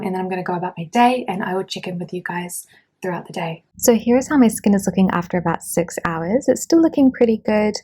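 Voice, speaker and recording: A young woman speaks at an everyday pitch in an easygoing, conversational review. Her voice is husky and a bit lowered from being unwell. She talks casually from her home, with frequent fillers. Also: a little mellow. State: voice lowered by illness. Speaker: beauty vlogger. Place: at home indoors.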